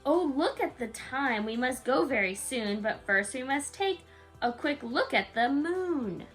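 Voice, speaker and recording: A woman with a fairly high voice reads a rhyming picture book at bedtime. Her delivery is sing-song and aimed at a small child, slipping into casual, expressive asides. Soft music plays behind her. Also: a little slow. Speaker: parent reading a picture book aloud to a young child. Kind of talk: bedtime story read-aloud with casual asides.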